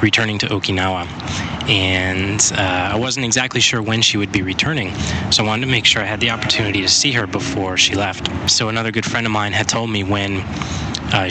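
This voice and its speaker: A man's voice: on the low side, fairly even intonation, fairly quick, background noise. A young man, a native English speaker, telling an enthusiastic spoken diary as a podcaster, chatty and repeating himself as he goes. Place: walking outdoors on a city street.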